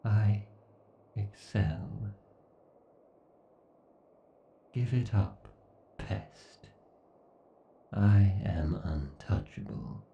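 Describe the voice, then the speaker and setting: Very slow, deep godlike AI villain. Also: male voice, expressive, creaky, roomy sound, recorded from a distance, highs cut off, slight background noise, full character voice. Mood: arrogant, contemptuous. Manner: slow, pompous, menacing, theatrical pauses. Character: obsessed, sadistic, arrogant godlike male AI villain. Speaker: voice actor. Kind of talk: horror ASMR roleplay monologue.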